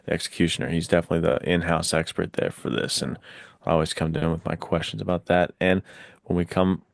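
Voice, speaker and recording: A man's voice: low-pitched, flat delivery, quick pace, vocal fry, no echo, clean studio sound. A man co-hosting a podcast, chatting in a casual, informal, slangy way. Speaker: podcast co-host.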